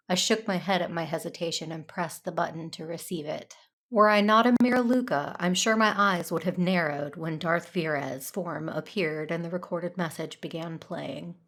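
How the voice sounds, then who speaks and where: Jedi heroine female actor: lowish voice, earnest, conflicted first-person audio drama narration. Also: a bit bright, slight background noise. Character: troubled, dutiful Jedi woman, earnest and conflicted.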